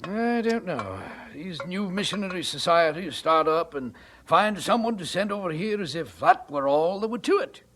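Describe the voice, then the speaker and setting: An actor playing a stern, skeptical older doctor in a missionary audio drama, brusque and disapproving. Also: male voice, high voice, expressive, normal speed, a bit bright, slight background noise. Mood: gruff, disapproving.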